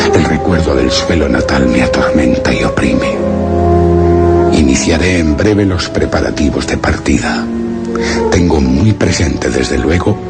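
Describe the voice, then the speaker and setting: A man's voice: a bass voice, vocal fry, full character voice. An actor playing a brooding exiled man who reads his written account in a solemn, literary Castilian Spanish radio theatre monologue. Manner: solemn, literary, measured delivery. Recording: voice under loud dramatic music.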